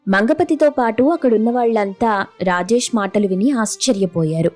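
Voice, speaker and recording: A woman's voice: on the high side, normal speed, a little mellow, soft background music. A woman storyteller narrating a scripted moral tale.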